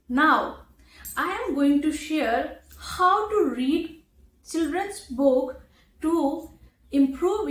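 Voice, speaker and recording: A woman with a high voice teaches at an unhurried pace, setting out each phrase with care and pausing between them. She sounds like an instructor addressing her viewers, recorded in an echoey room. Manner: deliberate, carefully enunciated, pausing between phrases. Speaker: English teacher, host. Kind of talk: instructional talk to camera.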